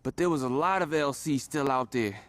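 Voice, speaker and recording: A man's voice: mid-range voice, brisk, a bit bright, no echo, close to an everyday voice. A man acting an urgent, rattled street gang member in a video game.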